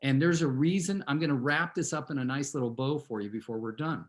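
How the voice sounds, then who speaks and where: A man's voice: medium pitch, a little fast. A man in his sixties from California, a real estate team leader telling a rambling personal story to his team on a video call, often turning to address his listeners directly. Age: 60s.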